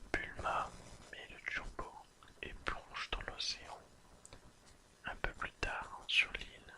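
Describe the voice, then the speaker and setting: An ASMR creator whispering softly and soothingly while reading a summary aloud in an unhurried way. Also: poor audio.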